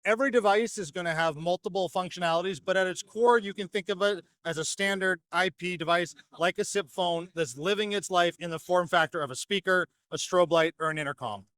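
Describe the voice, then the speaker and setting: A man's voice: sits high, fairly quick, few pauses, a bit bright, no room sound. A man giving a fluent, structured product explanation as a company representative in an interview. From North America. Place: trade show exhibition hall.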